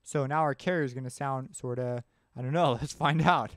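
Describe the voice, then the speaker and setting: A man presenting a software tutorial in an informal, offhand way, thinking aloud as he works. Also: mid-range voice, a little fast. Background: synth music and instrument sounds.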